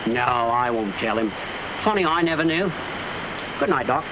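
A man's voice: mid-range voice, expressive, moderate pace, lightly acted. An actor playing a plain-spoken, helpful handyman with folksy, clipped speech in a 1940s film. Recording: hissy 1940s film soundtrack.

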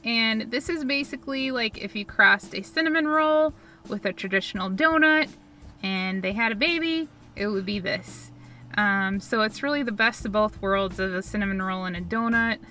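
A woman's voice: fairly high pitch, bright, forceful tone. A young woman from Minnesota, co-hosting a food vlog from a parked car, upbeat and giggly as she chats. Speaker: food vlogger co-host. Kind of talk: casual food review chat. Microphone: camera on dashboard.

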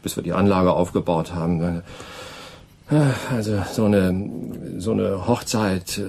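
An actor in a German radio play, playing a lively, enthusiastic jazz musician and cajoling husband. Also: male voice, sits low, takes it slowly, gravelly, full character voice. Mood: eager, cajoling. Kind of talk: German radio drama acting.

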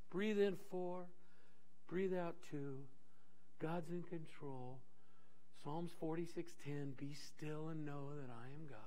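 Animated high-voiced male pastor preaching. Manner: animated, gesturing while pacing the stage, frequent restarts. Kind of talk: sermon. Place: church stage in a large dim hall.

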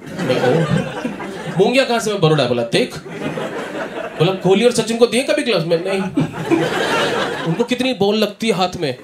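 A man in his thirties doing a stand-up comedy set on a club stage, telling animated stories and acting out characters into a handheld mic. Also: high-pitched, normal speed, pauses often. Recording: live club audio with audience noise. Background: audience laughter.

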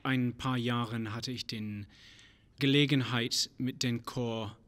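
Male guest in his forties, English accent, hesitant with lots of fillers, mid pitch. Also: steady tone, takes it slowly, a bit bright. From England. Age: 40s. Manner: hesitant, many fillers, searching for words. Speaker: guest, chorus master. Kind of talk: interview answers. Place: room in an opera house.

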